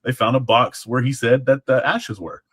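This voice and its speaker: A man's voice: medium pitch, fairly even intonation, normal speed, fairly bright tone, husky. A man speaking as a guest, telling stories in a casual, conversational way. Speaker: guest, former Alamo guard. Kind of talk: casual storytelling chat.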